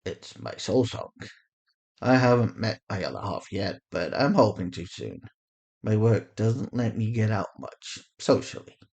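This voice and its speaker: A performer voicing a weary, resigned male interrogator with quiet menace, speaking in a measured, understated way in an audio drama. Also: mid-range voice, animated, husky. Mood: wistful. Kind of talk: acted audio fiction.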